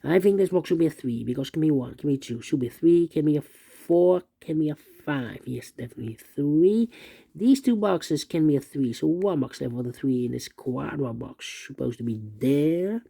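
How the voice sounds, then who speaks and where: A man with a very muted, dark voice that creaks at the edges. He talks through a puzzle step by step, methodically, in repeating sing-song phrases. The sound is dry and close. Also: a little high, lively intonation, moderate pace, no echo. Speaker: puzzle instructor. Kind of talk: unscripted step-by-step sudoku tutorial, thinking aloud.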